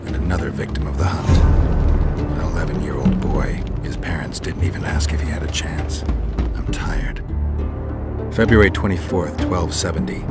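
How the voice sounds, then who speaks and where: A very deep-voiced, world-weary monster hunter narrates his memories for a game cutscene. He is grim and brooding, speaking in terse, deliberate phrases. Loud music plays beneath his voice. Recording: studio voice under loud music. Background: loud dramatic score.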